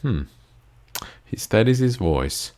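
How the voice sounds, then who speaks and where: A man's voice: fairly low pitch, sing-song, takes it slowly, a little mellow. A man whose first language is Italian, reading game dialogue aloud in a let's play, playful and swearing casually. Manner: playful, laughs at his own lines, swears casually. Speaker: gamer.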